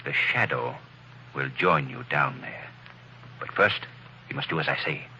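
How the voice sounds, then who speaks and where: An actor playing a calm, commanding male crime-fighter in a 1940s radio drama, with a smooth, assured, softly ominous manner. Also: rumbling low, unhurried, dull audio, heavily acted. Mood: hushed.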